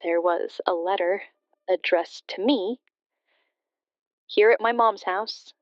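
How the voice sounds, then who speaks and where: A woman's voice: medium pitch, takes it slowly, no room sound, natural voice. A woman playing a grieving daughter phoning a late-night radio show, telling her story in a hesitant, subdued way over a muffled line. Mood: hesitant, wistful. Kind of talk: confessional storytelling in radio drama. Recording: muffled, band-limited phone-in sound.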